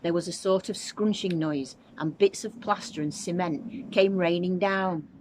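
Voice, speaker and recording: A woman reading a children's story aloud as a teacher would, with expressive narration. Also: fairly low pitch, measured pace, a little hiss or hum.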